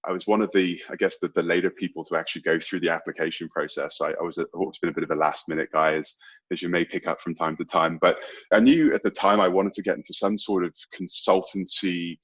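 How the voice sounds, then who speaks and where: A man's voice: low voice, fairly even intonation, quick pace, a bit bright, slightly rough, highs cut off. A man in his thirties from Bermuda with a British accent touched by American and Australian sounds, chatting casually as an interview guest. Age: 30s. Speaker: guest, sales executive.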